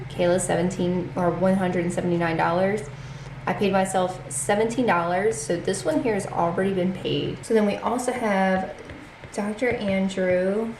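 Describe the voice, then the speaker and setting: A woman's voice: mid-range voice, a little mellow, echoey room, a little hiss or hum, low-quality recording. A congested woman hosting her own channel, chatting casually as she walks through her budget and reads out figures.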